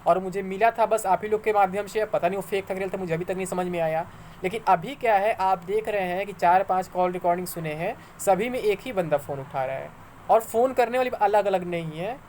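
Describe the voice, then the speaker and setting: A man's voice: high voice, fairly quick, darkish tone, a little hiss or hum. A man giving informal explanatory commentary to viewers, conversational and argumentative, repeating points for emphasis. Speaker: exam news YouTuber.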